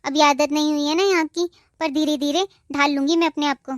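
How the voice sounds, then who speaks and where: A woman voicing a gentle, polite young newlywed daughter-in-law in a cartoon, with a soft, respectful manner. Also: high voice, steady tone, fairly quick, no room sound, studio quality, natural voice. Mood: sweet. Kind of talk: Hindi cartoon dubbing.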